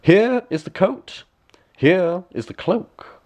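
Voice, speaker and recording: A man voicing a cunning, flattering swindler weaver in a fairy tale, with showy sales patter. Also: high-pitched, slow pace, voice pushed up.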